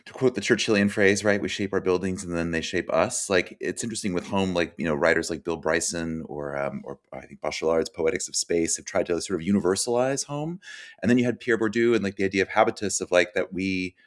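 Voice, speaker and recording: A man's voice: low voice, brisk. A man co-hosting an interview, asking a long, rambling academic question with many filler words. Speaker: podcast co-host.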